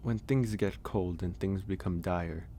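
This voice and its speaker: Very deep, gentle werewolf played by a man. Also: slight background noise. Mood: hesitant, explanatory. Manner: gentle, hesitant, reassuring, pausing often. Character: gentle male werewolf, caring stranger nursing a guest. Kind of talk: ASMR audio roleplay, soft and intimate.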